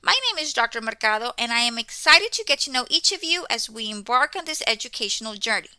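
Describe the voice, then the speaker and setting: A woman's voice: fairly high pitch, expressive, fast talker, pushed and bright, smooth, no echo. A woman in her forties, a native Spanish speaker from Mexico, welcoming students to an accounting course and often checking in with "okay?". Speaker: accounting instructor.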